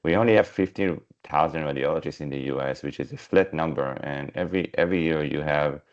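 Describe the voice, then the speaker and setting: A man with an Israeli accent, a startup founder pitching his company over a video call, halting with many fillers and restarts. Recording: dull lo-fi video call audio.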